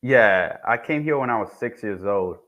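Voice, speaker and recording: A young man from Haiti with a lowish voice speaks softly and haltingly. He restarts his phrases as he tells his story as an interview guest. The sound is a bit dull and lo-fi. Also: expressive. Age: young adult. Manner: soft-spoken, hesitant, restarts often. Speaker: guest, HVAC technician. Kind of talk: casual interview talk.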